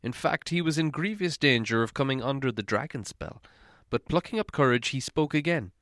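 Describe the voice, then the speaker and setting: A man reading a story aloud as an expressive audiobook narrator. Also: mid-range voice, a little fast, no room sound, studio quality.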